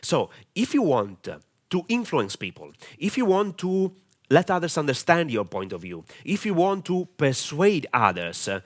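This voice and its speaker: Italian guy coaching people on video confidence, english not his first language, lots of uhs, very animated up and down delivery. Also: fairly high pitch, measured pace. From Italy. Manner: hesitant, many filler sounds, direct and earnest. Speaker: online marketing mentor. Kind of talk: camera-confidence training talk.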